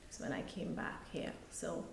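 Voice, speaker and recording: A middle-aged woman from Nigeria, an author and pharmacist, telling her life story as an interview guest in a relaxed, reflective way. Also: measured pace, slight background noise. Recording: echoey room.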